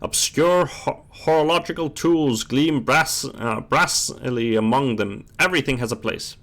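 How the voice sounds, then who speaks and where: A man's voice: mid-range voice, a little slow, a bit bright. A man hosting a casual gaming stream, chatting and reading game text aloud, often stumbling and rereading words.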